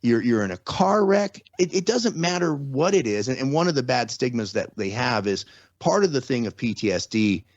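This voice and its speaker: A man's voice: mid-range voice, very animated, normal speed, no echo, lo-fi sound. A man speaking as a podcast guest, explaining things step by step in a casual, conversational way with everyday examples. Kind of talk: casual explanatory interview talk.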